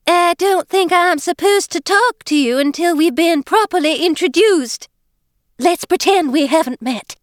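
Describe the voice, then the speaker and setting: A woman's voice: very high voice, a little slow, no echo, studio quality, voice pushed up. A woman reading aloud, voicing a chirpy woman in her fifties who is an American faking a British accent and overpronouncing her words. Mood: flustered. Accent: American trying to sound British. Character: gushing fiftyish woman faking British airs.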